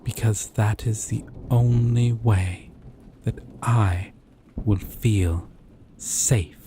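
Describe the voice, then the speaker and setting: A man playing a gentle, obsessive, possessive lover in an intimate romantic roleplay, speaking haltingly and tenderly. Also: fairly low pitch, noisy background. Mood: pleading, yearning. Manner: slow, halting, tender, breathy pauses. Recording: rain and thunder ambience behind. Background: rain, thunderstorm.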